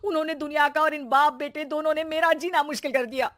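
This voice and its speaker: A woman voicing an exasperated, sarcastic mother who nags and shouts in an animated cartoon story. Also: quick pace. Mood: lamenting. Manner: shrill shouting, sarcastic nagging. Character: exasperated, scolding Indian mother and housewife, sarcastic.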